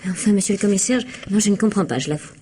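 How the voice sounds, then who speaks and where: An actress playing an indignant, evasive young woman suspected of murder in a radio mystery, with a husky, breathy, flustered delivery. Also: medium pitch, very fast, warm tone, a little hiss or hum, lightly acted. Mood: flustered, affronted. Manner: flustered, hesitant, protesting. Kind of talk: French radio mystery drama acting.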